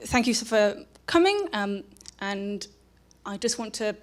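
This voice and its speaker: A woman speaks as the presenter of a public lecture. Her delivery is informal and halting, often breaking off to rephrase. She talks into an event microphone in a live setting. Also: medium pitch, lively intonation. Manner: informal, halting, self-interrupting. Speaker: public programs manager and lecturer. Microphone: microphone for live streaming.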